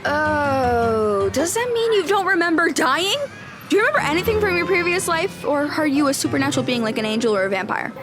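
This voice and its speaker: A woman playing a nosy, excitable young girl who hunts ghosts, inquisitive and argumentative, in an audio drama. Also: high voice, a little fast, over loud music. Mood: curious, eager.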